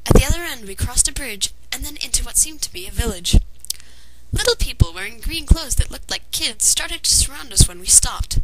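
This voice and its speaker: A woman's voice: high voice, lively intonation, fairly quick, crowd noise. A woman reading a fan fiction story aloud as narrator, in a dramatized way. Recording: noisy amateur home recording.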